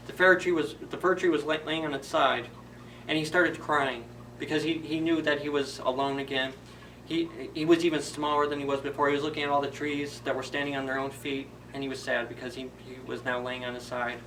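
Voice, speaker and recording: Bright-voiced man telling a children's story. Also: mid-range voice, fairly even intonation, a little fast, far from the mic, slight background noise, low-quality recording. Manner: simple repeated phrasing with questions to children. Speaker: library storyteller. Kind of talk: animated children's story retelling, informal.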